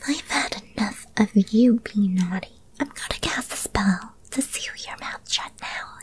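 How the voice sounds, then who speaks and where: A woman voicing a possessive, lovestruck young witch girlfriend in an ASMR roleplay, in a breathy, cooing and controlling manner. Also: medium pitch, a little slow, voice pushed down, natural voice. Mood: scolding.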